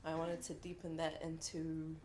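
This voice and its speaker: A woman's voice: low voice, monotone, darkish tone, a little hiss or hum. A young woman from New Zealand with a New Zealand accent, giving a reflective, earnest speech at a book launch. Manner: reflective and earnest, with frequent pauses. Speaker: contributor speaking at a book launch. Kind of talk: heartfelt speech to an audience. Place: event room at a book launch.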